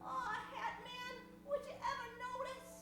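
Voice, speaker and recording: Radio play girl: gushing, wholesome, squeaky high, heard far off in an echoey hall with loud music. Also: steady tone, unhurried, full character voice. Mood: wistful, hopeful. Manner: gushing, wholesome, old-fashioned exclamations. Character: eager young girl Margie, adoring hero fan. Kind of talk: old-time radio acting.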